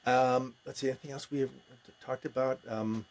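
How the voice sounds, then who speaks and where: A man's voice: medium pitch, steady tone, normal speed, darkish tone. An American man hosting a podcast, talking casually as he interviews a guest. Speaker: podcast host.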